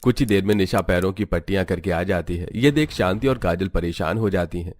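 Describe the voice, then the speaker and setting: A man's voice: sits low, brisk, a little mellow, dry recording. A man reading scripted story narration for a cartoon. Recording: background music.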